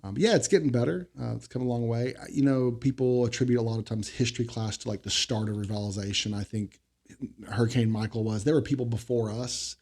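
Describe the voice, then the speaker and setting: A man's voice: mid-range voice, fairly even intonation, quick pace. A man in his forties from Florida, a local business owner talking candidly as a podcast guest. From Florida, USA. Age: 40s. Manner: candid, chatty, trails off quietly. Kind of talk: casual interview chat.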